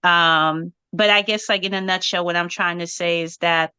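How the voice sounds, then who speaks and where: A woman's voice: lowish voice, steady tone, moderate pace, pushed and bright, clear, resonant tone, dry recording. A woman who grew up in Haiti and speaks French as her first language, giving thoughtful interview answers with frequent ums and you-knows. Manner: thoughtful, many fillers like um and you know. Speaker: guest, writer and editor.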